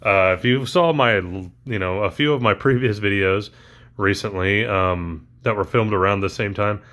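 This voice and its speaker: A man's voice: lowish voice, normal speed, fairly bright tone. A man talking casually through a toy unboxing, rambling with lots of ums and uhs, his voice recently back after allergies.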